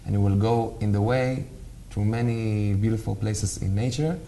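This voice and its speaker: A young man with an Israeli accent, a guest emissary who speaks haltingly with many fillers. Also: on the low side, vocal fry, mellow, a little hiss or hum. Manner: hesitant, many uh fillers, simple phrasing. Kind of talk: interview on local talk show.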